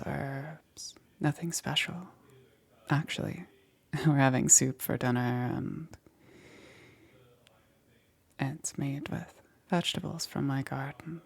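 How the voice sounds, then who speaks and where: Woman doing a creepy-gentle witch for asmr, slow n breathy, lots of whispering, kinda low, coaxing w quiet menace. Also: heavily acted. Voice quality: breathy, husky, often whispering. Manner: slow, hesitant, softly cooing. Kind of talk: ASMR roleplay.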